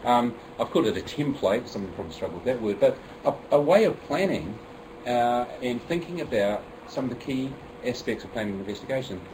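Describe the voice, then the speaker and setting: Fast-talking New Zealand man presenting to teachers. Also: medium pitch, animated, darkish tone, far from the mic, slight background noise, rough recording. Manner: chatty and self-deprecating, many fillers and restarts. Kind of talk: workshop presentation. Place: room with an audience.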